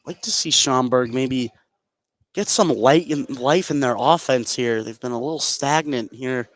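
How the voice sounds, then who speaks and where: A man's voice: mid-range voice, a little slow. A man working as a color commentator, explaining a live basketball game in a conversational way.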